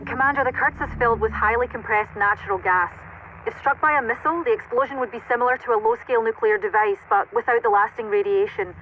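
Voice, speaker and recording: A woman's voice: a little high, fast talker, natural voice. Woman as composed intelligence officer, dull muffled sound. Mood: grave, precise. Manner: formal, precise, informative. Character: composed female military intelligence officer, Oracle. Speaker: intelligence officer briefing a commander. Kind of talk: cinematic military game acting. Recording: dull band-limited radio-like sound, faint noise.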